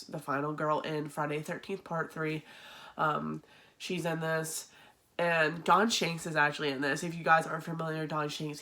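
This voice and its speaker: A woman's voice: low-pitched, fairly bright tone. A young woman chatting casually to camera as a horror video host, sounding nasal and stuffy from a sinus infection, with frequent ums and restarts. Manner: chatty, lots of ums and restarts, laughs often. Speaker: horror movie YouTuber.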